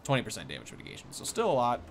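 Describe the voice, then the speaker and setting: A man's voice: mid-range voice, wildly swooping pitch, rapid-fire. A young man hosting a gaming video, thinking aloud in casual, rambling, unscripted commentary. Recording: clear background noise from game. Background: video game sounds.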